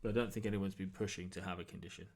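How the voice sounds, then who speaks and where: A man narrating a tabletop role-playing game as game master, describing things in a halting, improvised, conversational way. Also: deep voice, steady tone, darkish tone.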